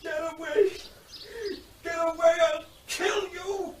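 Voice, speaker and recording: Distraught armed recluse, distant and high-pitched. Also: male voice, steady tone, takes it slowly. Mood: threatening, frantic. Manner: defiant, distraught, repetitive. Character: agitated, armed recluse fiercely protecting his dog. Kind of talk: radio drama acting. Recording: distant, echoey, very noisy outdoor scene.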